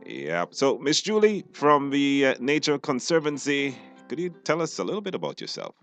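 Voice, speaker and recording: A man's voice: medium pitch, huge pitch swings, moderate pace, a bit bright, soft background music. A man with a Belizean accent hosting a radio show in a casual, conversational style. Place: radio studio. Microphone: studio microphone.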